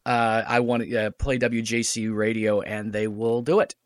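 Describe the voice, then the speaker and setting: A man chatting casually as a podcast guest, explaining things in a conversational, halting way. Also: on the low side, little pitch movement, dry recording, clean studio sound. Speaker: podcast guest, radio play writer. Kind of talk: casual interview chat.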